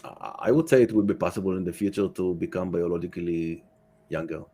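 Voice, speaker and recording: Male guest, deep voice, matter-of-fact and halting in an informal interview. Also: mellow, slightly rough, soft background music. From Israel. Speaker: podcast guest. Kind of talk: casual interview answers.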